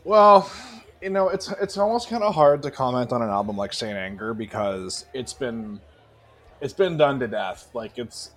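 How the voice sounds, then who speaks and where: A man talking as a podcast co-host with a medium-pitched voice. He gives casual, opinionated commentary on music and swears freely for emphasis. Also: lively intonation, moderate pace, fairly bright tone.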